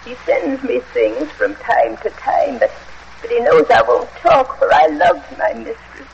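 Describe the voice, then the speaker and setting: Creaky dying old servant woman. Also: a little high, huge pitch swings, heavily acted. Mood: haunted, sorrowful. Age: older. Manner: halting, anguished. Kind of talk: old-time radio horror drama acting. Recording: muffled, hissy old radio drama recording.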